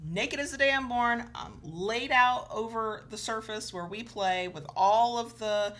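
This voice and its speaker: A woman's voice: fairly high pitch, a little slow, a bit bright. A woman co-hosting an educational video, giving conversational advice to viewers in an emphatic, explanatory way. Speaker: co-host of an educational video show.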